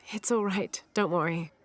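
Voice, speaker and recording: A woman's voice: medium pitch, unhurried, natural voice. An actress playing a determined, considerate young woman explorer in a video game cutscene. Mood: calm, curious. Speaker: lead character in an action-adventure game. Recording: music behind the voice. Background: loud game music.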